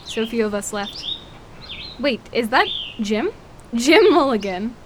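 A woman's voice: on the high side, expressive, takes it slowly, a little hiss or hum. A woman playing a bold, teasing, fiery war veteran in an audio drama. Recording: music and birds behind voice.

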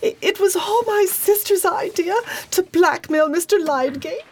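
A very high-voiced, distraught young mother, played by a woman in a period detective radio drama. She pleads and stammers, full of raw emotion. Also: measured pace, a little hiss or hum. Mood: ashamed, confessing.

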